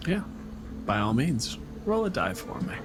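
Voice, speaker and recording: A man's voice: mid-range voice, big pitch swings, unhurried. A man working as game master, narrating a tabletop game in a dramatic, descriptive way. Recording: music behind the voice.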